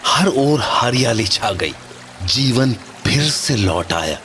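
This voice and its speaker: A man's voice: mid-range voice, big pitch swings, takes it slowly, a bit bright, a little hiss or hum. A man playing a solemn documentary narrator, telling an ancient legend in a formal, dramatic style. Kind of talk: scripted mythological narration.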